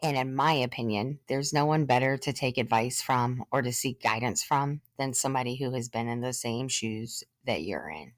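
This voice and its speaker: A woman in her thirties, a podcast host sharing her own story in an earnest, measured way, sometimes short of breath and pausing. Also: rumbling low, no echo, clean studio sound. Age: 30s. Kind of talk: personal testimony monologue.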